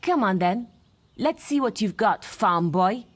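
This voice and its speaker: A cocky young female apprentice in a fantasy video game. She is brash and competitive, speaking in a husky mid-pitched voice with a teasing edge. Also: animated, measured pace.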